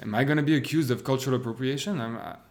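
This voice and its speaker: Thoughtful man, halting. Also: medium pitch, a little mellow. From Quebec. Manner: thoughtful, halting with many restarts. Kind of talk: casual conversation.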